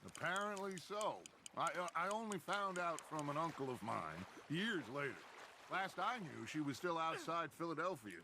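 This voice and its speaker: A smooth-talking outlaw boss getting nostalgic, kinda high voice, outdoor noise behind. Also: male voice, very animated. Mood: reflective. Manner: measured, reflective storytelling. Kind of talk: video game cutscene acting. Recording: outdoor game ambience over voice.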